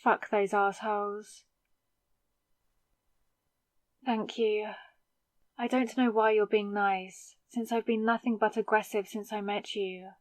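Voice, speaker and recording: Woman performing a sarcastic fledgling vampire, slow pacing, close dry sound, hesitant, conversational, ASMR audio drama. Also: mid-range voice, level tone, no room sound, close to an everyday voice. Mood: hesitant, grateful. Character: newly turned young woman vampire, sarcastic, rattled, defiant. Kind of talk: scripted ASMR audio roleplay.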